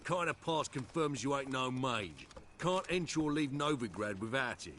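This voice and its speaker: Sneering, animated gate guard. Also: male voice, mid-range voice, normal speed, a little hiss or hum. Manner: sneering, rough colloquial speech. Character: bullying, bigoted male city gate guard.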